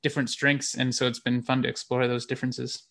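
Male interview guest, conversational and halting, level intonation with little swing. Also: mid-range voice, flat delivery, gravelly, fairly bright tone. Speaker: interview guest, theatre producer and actor. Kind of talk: casual interview chat.

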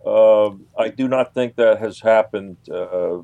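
An older man from the United States speaking haltingly as an unscripted interview guest. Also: lowish voice, dark tone, no echo, highs cut off. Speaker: interview guest, UFO investigator.

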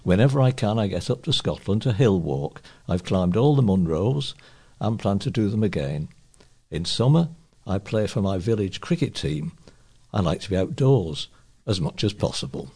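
A man with a husky voice reading local newspaper articles aloud as a volunteer reader. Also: on the low side, lively intonation, normal speed, dark tone.

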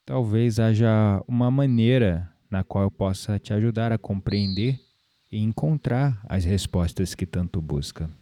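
An actor playing a gentle, earnest spiritual confidant with a Brazilian accent in an audio drama. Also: male voice, on the low side, measured pace, no echo, natural voice. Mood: gentle, mysterious.

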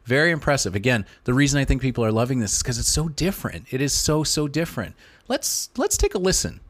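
A man's voice: mid-range voice, sing-song, fast talker, no echo. A man reviewing a podcast in casual commentary, enthusiastic and often repeating and restarting words.

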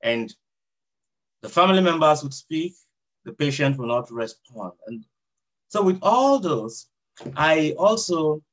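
A man's voice: mid-range voice, poor audio. Reflective Nigerian-accented man. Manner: reflective, measured, frequent "uh" fillers. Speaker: hospital chaplain. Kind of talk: interview answers.

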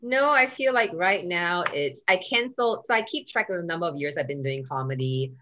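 A woman's voice: lowish voice, animated, fairly quick, muffled recording, background noise. A woman from Singapore, a touring stand-up comedian, telling stories in a casual podcast chat. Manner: chatty storyteller, swearing and acting out quotes.

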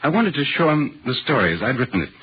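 An actor playing an earnest, concerned gentleman writer in a 1940s radio ghost drama, speaking with measured, deliberate literary phrasing. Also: mid-range voice, big pitch swings, husky. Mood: brooding. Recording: muffled, worn 1940s radio recording.

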